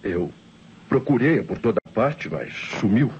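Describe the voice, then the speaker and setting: A man's voice: on the low side, sing-song, a little slow, gravelly, a little mellow, husky, heavily acted. A Brazilian man acting a professor's nervous, evasive assistant in an old radio drama, defensive and hesitant. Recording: dull old radio recording, faint hiss.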